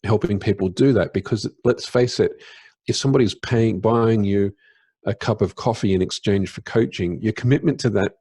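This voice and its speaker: Low-voiced man in casual podcast chat. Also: normal speed, mellow, slightly rough. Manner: reflective, harping on a point with restarts.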